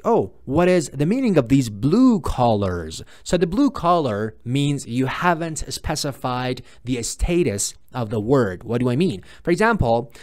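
A man, a native Persian speaker with a Persian accent, presenting a step-by-step software tutorial in an informal, explanatory and repetitive manner. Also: medium pitch, no echo, clean studio sound. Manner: explanatory, repetitive, posing viewers' questions and answering them. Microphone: close mic, screen-recording voice-over.

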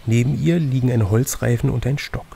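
Gravelly man narrating a gamebook. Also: mid-range voice, brisk, dark tone, slight background noise. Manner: playful, teasing. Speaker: game master reading a gamebook aloud. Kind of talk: scripted narration mixed with casual banter.

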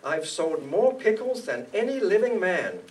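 A man's voice: sits high, big pitch swings, a little slow. An older man playing a lonesome, boastful, eccentric millionaire in a comic old-time radio style. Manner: plaintive, boastful. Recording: distant room microphone, clicks, faint noise.

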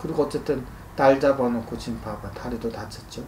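A man's voice: mid-range voice, fairly quick, darkish tone. A man in his thirties giving a fortune-telling consultation in a blunt, admonishing manner, often prompting with short questioning grunts. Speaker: shaman fortune-teller. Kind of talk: spoken consultation, informal advice. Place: small indoor consultation room. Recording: roomy echo, faint background noise.

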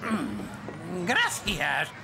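A fussy old cartoon wizard gnome, with an extremely gravelly, creaky voice that leaps up and down. He sounds pompous and testy, as if always lecturing. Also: a little high, huge pitch swings, very slow, music in the background, heavily acted. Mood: pompous, urgent. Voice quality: creaky elderly voice. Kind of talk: animated cartoon dub.

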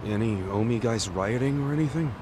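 An actor playing a loyal young former yakuza who talks in a lively, earnest way. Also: male voice, medium pitch, a little fast, noisy background, lightly acted. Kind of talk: video game cutscene dub.

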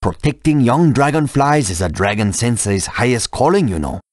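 A man's voice: mid-range voice, sing-song, normal speed, no room sound, studio quality, lightly acted. A man voicing a kindly, dignified elder dragon master in a video game, formal and courteous. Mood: courteous, serene. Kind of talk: video game character dialogue.

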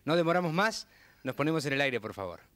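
A man's voice: on the high side, expressive, fast talker, a bit bright, soft background music. A man in his thirties hosting a TV talk show, questioning a guest with handheld mic in a studio and dropping brief encouraging interjections.

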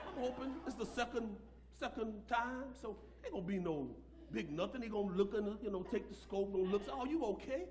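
Middle-aged American male preacher, high voice, folksy emphatic storyteller, live church with audible congregation. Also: gravelly. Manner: emphatic, repeats phrases, folksy. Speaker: pastor. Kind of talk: animated sermon with personal storytelling. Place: church sanctuary, at a pulpit. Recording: live church room with audience reactions. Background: congregation reacting.

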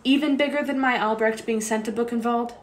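A woman playing a high-voiced, anguished young mother. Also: steady tone, a little fast, natural voice. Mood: quiet, tender. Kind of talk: student radio play acting.

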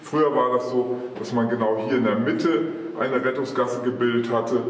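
Echoey reverberant room, middle-aged man, medium pitch, explanatory demonstration. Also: fairly even intonation, normal speed, a little hiss or hum. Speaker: emergency service chief explaining rules. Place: indoor meeting room.

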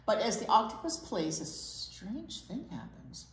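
An American woman in her sixties reads a picture book aloud as a children's storyteller, expressive and explaining things simply. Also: mid-range voice, a little slow. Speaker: children's storytime reader at a library. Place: library children's room, indoors. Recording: roomy, echoey indoor sound.

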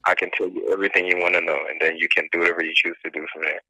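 A man working as a debt collection agent on a phone call, giving a scripted pitch in an insistent, repetitive, formal manner. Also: little pitch movement, racing, bright, forceful tone. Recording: phone line. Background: telephone call.

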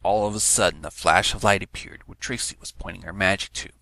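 Deep, creaky, animated man reading a story. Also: brisk, no echo. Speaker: fan fiction narrator. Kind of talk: scripted story narration, read aloud.

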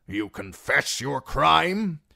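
A man voicing a gruff, indignant lord in a fantasy audiobook, growling his words forcefully. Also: deep voice, animated, normal speed, heavily acted. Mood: stern. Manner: forceful, blustering. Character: gruff, growling, indignant old-guard nobleman.

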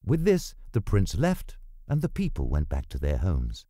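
A man reading scripted storybook narration in a dramatic, deliberate manner. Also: sits low, huge pitch swings, dark tone, no room sound, clean studio sound. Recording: music behind the voice. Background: plucked guitar music.

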